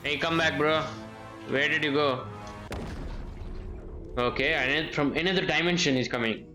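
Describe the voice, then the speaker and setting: A man's voice: a little high, unhurried, music in the background. A man, chatting casually with viewers as he streams a game, often repeating short phrases. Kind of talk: casual live gameplay chat with viewers.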